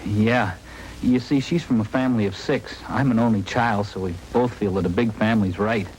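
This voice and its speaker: A man acting a young expectant husband in 1950s radio drama, fretful and folksy. His fairly low voice is hesitant, on an old radio recording with light noise. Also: normal speed, darkish tone, lightly acted, poor audio. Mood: anxious, hopeful. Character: anxious young husband awaiting his wife's childbirth.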